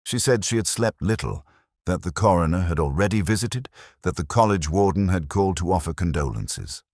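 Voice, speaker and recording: A man with an extremely deep voice narrates a detective story. His delivery is measured and literary, with a brooding air and plenty of melodic movement. The sound is dry and free of room. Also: lively intonation, a little mellow, no echo. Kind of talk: scripted first-person detective story narration.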